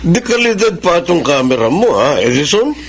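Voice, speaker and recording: An actor with a Filipino accent playing a firm, fatherly prison warden in a radio drama, measured and formal. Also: on the high side, big pitch swings. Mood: encouraging. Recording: noisy radio drama broadcast.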